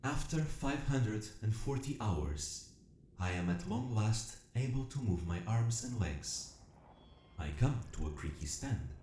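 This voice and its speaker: A man voicing a damaged, logical robot narrating an audio drama in a measured, formal, clinical and precise manner. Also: on the low side, expressive, dark tone, reverberant, slight background noise. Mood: calm, methodical. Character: damaged military robot awakening, logical, methodical, quietly curious.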